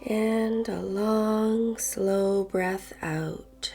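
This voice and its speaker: A woman leading a guided meditation in a soft voice that turns breathy at phrase ends, gentle and unhurried with long pauses. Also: mid-range voice, steady tone. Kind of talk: guided meditation, slow and soothing narration. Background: soft background music.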